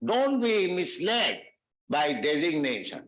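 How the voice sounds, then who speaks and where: Male spiritual lecturer, raised pitch, deliberate phrasing, echoey archive recording. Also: sing-song, a bit bright. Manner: slow, measured phrasing with Sanskrit verses. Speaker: spiritual teacher giving a lecture.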